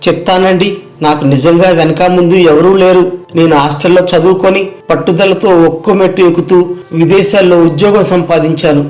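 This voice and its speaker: A kind, idealistic young man voiced by a male storyteller speaks in a fairly high voice. He is polite and sincere in this story, with faint music behind. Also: steady tone, brisk, darkish tone, natural voice. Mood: sincere, confiding.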